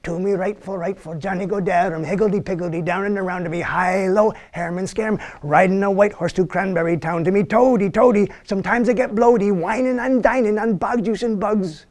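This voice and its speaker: Man doing cheeky talking frog, lilt, raised pitch, sing-song wheedling. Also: brisk, few pauses, darkish tone, lightly acted.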